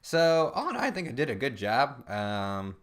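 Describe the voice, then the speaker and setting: A man's voice: medium pitch, sing-song, moderate pace. A young man chatting casually as a podcast co-host, jokey, informal and self-deprecating. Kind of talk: casual comedic chat.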